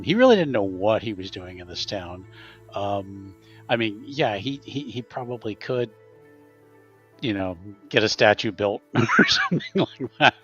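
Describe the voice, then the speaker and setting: A man chats casually as a player in a tabletop roleplaying game. His voice is low and husky, and the audio is slightly dull and muffled. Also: a bit bright. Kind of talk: casual chat about his character.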